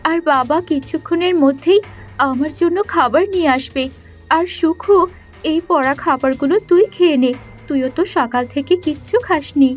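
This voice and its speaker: A woman's voice: sits high, normal speed, soft background music, close to an everyday voice. A woman voicing a sweet, selfless young princess in a cartoon, earnest and pleading. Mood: caring.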